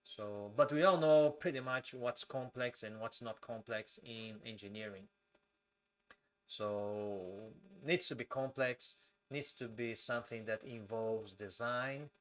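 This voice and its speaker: A man from Brazil with a Brazilian accent, hosting a live stream, talking slowly with frequent fillers and greeting viewers from their comments. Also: fairly low pitch, fairly even intonation. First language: Portuguese. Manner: speaks slowly and deliberately with many fillers.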